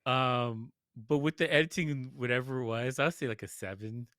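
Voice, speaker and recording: A man's voice: mid-range voice, moderate pace, fairly bright tone, no echo, clean studio sound. A man co-hosting a podcast, giving informal, loosely phrased opinions in a casual movie review chat. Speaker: podcast co-host.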